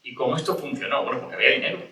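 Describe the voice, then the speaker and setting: Man, from Cádiz, panel talk, very bright tone, rambling restarts, echoey distant room. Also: mid-range voice, rapid-fire, slight background noise. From Cádiz, Spain. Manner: rambling, many restarts and rhetorical questions. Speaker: panel speaker. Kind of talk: panel discussion.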